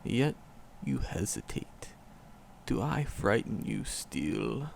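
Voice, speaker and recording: A man voicing an ancient, hulking forest demon, tender and wistful, in a gravelly, poetic, slow-phrased ASMR roleplay. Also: on the low side, wildly swooping pitch, a little hiss or hum, exaggerated voice. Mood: tender, coaxing. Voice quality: gravelly, growling creak. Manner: slow, poetic, storybook phrasing with dramatic pauses.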